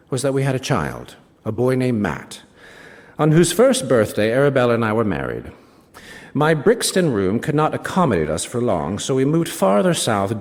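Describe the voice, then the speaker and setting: An actor playing a wry male British novelist, reading a first-person literary story in an expressive, savouring way with small asides. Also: medium pitch, close to an everyday voice. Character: wry male British novelist recounting a rivalry with his friend. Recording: reverberant hall, stage reading.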